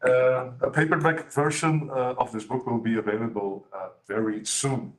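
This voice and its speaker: Man, law professor, formal academic presentation, measured with frequent pauses, mid-pitched voice. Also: fairly even intonation, poor audio. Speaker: panel speaker, law professor and author.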